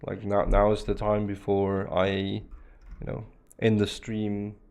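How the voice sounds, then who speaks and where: A man whose first language is Afrikaans talks through a networking lab on a live stream. His voice sits a little low, and his delivery is loose and chatty, full of fillers and restarts. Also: monotone, slow, darkish tone. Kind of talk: casual live-stream chat while working a lab.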